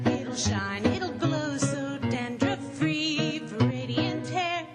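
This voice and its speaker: A woman's voice: high voice, lively intonation, slow pace. A woman playing a cheerful, flirty shampoo tube that sings a perky vintage radio jingle. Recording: loud music over the voice.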